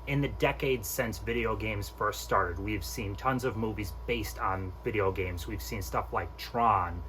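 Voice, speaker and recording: A man's voice: on the low side, measured pace, over loud music. A man hosting a casual movie countdown review, speaking informally and with strong opinions, with some mild swearing. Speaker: host of a movie countdown video.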